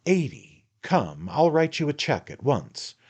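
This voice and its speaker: A man's voice: on the high side, big pitch swings, a little slow, a little mellow, husky, voice pushed up, full character voice. A man voicing a bustling little male attorney from a storybook, ingratiating and coaxing, forever calling the listener "my dear sir." His voice sits well above his own.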